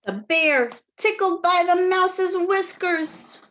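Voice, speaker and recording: Teacher lady reading a kids storybook, high voice, really slow, expressive, asks the kids questions. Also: lo-fi sound. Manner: expressive, addresses children as friends, asks questions, gasps for effect. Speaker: teacher reading aloud to young children. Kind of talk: children's picture-book read-aloud with asides to the listeners.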